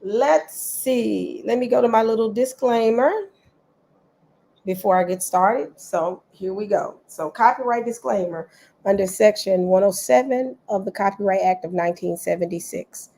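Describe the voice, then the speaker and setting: Congested woman, gravelly and casual. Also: medium pitch, normal speed, dark tone. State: losing her voice, sinus congestion. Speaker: live stream host. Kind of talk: casual late-night live chat.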